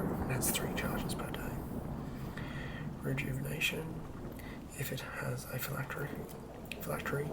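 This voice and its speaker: A person whispering breathily and deliberately close to the microphone, as the host of a fantasy game ASMR read-through. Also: medium pitch, creaky, far from the mic, poor audio. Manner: hushed, deliberate phrase-by-phrase reading. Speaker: host and game master reading a monster stat block.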